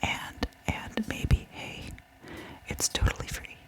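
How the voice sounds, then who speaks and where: Female ASMR host: hushed breathy whisper, unhurried delivery, soft-spoken direct address to viewers. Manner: soft-spoken, repeats words gently. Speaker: host of a true crime ASMR channel.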